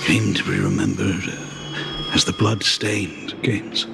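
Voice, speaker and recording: An actor voicing a middle-aged, dignified academy dean and grieving father, formal and deliberate, in a video game. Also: sits low, big pitch swings, vocal fry, exaggerated voice. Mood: mournful. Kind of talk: dramatic video game voice acting. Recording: loud music under the voice. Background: game music.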